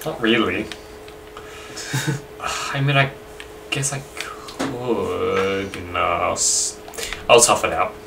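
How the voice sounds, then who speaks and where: A man's voice: deep voice, steady tone, extremely slow, pauses often. A man chatting bluntly and casually while playing a video game, swearing freely. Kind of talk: casual gameplay chat. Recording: distant mic with clear background noise.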